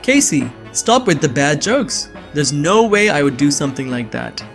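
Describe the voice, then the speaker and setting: A man's voice: on the high side, big pitch swings, normal speed, vocal fry, faint music behind. A young man playing a bewildered innocent framed as an assassin, narrating his own story in a scripted drama. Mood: startled, incredulous. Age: young adult. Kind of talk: first-person scripted narration with dialogue.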